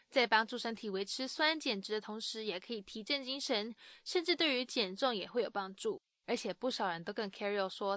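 A woman's voice: fairly high pitch, a bit bright, no room sound, clean studio sound. A woman host narrating a summary of an interview for listeners in a friendly, explanatory way. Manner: friendly explanatory tone with sentence-final particles. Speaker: podcast host summarizing in Mandarin. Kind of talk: scripted narration.

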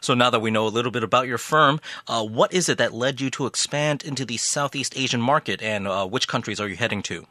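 A man's voice: medium pitch, fast talker, few pauses, pushed and bright, dry recording. A man interviewing a business guest, asking plain, polite questions.

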